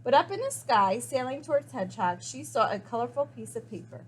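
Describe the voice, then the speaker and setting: Female storyteller, high voice, expressive picture-book read-aloud with dramatic emphasis and pauses. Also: slight background noise, poor audio. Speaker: story reader.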